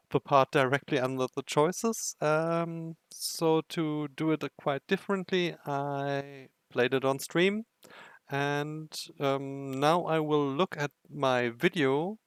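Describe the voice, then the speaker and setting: A man giving casual, unscripted commentary for a gaming video in a halting, hesitant way. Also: mid-range voice, clear, resonant tone, no echo.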